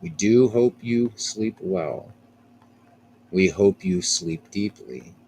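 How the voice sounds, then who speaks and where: A man's voice: on the low side, animated, takes it slowly, creaky, darkish tone, slight background noise, rough recording. A man hosting a casual live stream of a baseball game, rambling, repeating himself and chatting with viewers by name.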